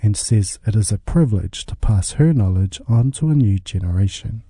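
A man's voice: on the low side, expressive, normal speed, dark tone, slightly rough, dry recording, clean studio sound. A man reading a scripted radio news report as the reporter.

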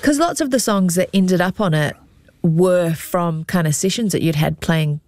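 A woman's voice: fairly low pitch, moderate pace, a little mellow, no echo. A woman interviewing a musician, encouraging him with short affirmations. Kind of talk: casual interview.

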